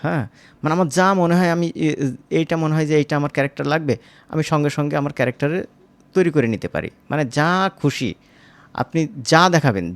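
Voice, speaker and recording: A man teaches software in a casual, informal way, speaking directly to viewers as a tutor. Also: on the high side, dry recording. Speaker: animation tutor.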